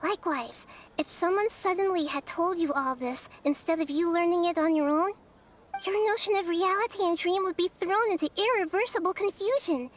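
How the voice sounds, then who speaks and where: A woman voicing a gentle, wise young girl deity in a video game, speaking in a measured, earnest way. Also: very high-pitched, slight background noise. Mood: explaining calmly.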